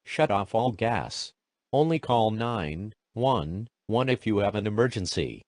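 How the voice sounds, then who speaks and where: A man's voice: fairly low pitch, big pitch swings, unhurried, a little mellow, no echo, studio quality. A man reading scripted emergency alerts as an announcer, in a formal, impersonal, robotic way.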